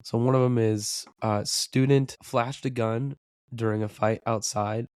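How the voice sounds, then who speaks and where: A man's voice: medium pitch, measured pace, smooth, no echo, clean studio sound. A man hosting a podcast in a casual chat, his voice worn from losing it for a week. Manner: relaxed, chatty, laughs easily. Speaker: podcast host.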